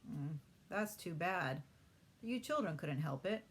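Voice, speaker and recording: Woman reading a kindly, slightly husky storybook father. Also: on the low side, animated. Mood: calm, reasoning. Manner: measured, encouraging. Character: kindly, fair-minded father of young children.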